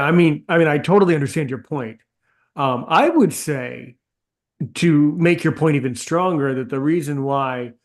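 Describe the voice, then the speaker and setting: A man hosting a casual interview, talking in a rambling way and repeating phrases and fillers. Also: medium pitch. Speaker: interview host.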